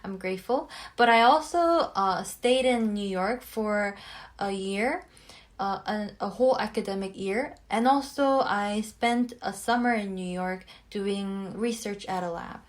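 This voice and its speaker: A woman in her twenties from South Korea, co-hosting a casual podcast and talking with many pauses and fillers. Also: medium pitch. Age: 20s. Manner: thoughtful, many fillers and restarts. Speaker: podcast co-host.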